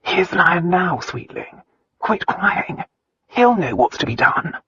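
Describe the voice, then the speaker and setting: A man voicing an amused young gentleman who speaks tenderly and teasingly in a storybook reading. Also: high-pitched, big pitch swings, slow, breathy edge, pitched above the performer's own voice, heavily acted. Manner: lightly raised, teasing and soothing. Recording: slightly muffled dry recording.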